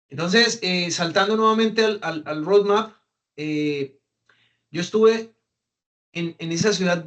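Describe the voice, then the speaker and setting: A young Colombian man with a Colombian accent and a voice set somewhat high for a man. He talks casually to a group, filling gaps with "eh" and restarting his phrases as he thinks. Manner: conversational, many fillers and restarts, mimics English phrases. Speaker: guest speaker on migration. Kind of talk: casual talk to an audience.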